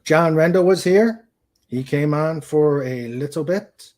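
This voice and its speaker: A man hosting a casual livestream, speaking in a halting way as he searches for words. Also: on the high side, darkish tone. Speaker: host of a live-streamed show.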